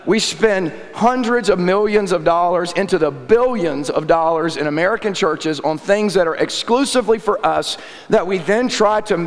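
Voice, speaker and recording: A man preaching a sermon in a conversational style, often clearing his throat and checking in with "all right?", his voice worn and close to giving out. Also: sits high. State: losing his voice. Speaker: pastor. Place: church sanctuary. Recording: echoey church hall.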